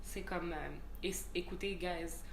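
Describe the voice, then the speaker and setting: A woman's voice: lowish voice, steady tone, measured pace, a little hiss or hum. A young woman with a Quebec French accent co-hosting a casual chat, switching between French and English mid-sentence. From Montreal. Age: young adult. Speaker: co-host. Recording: echoey room with faint ambience.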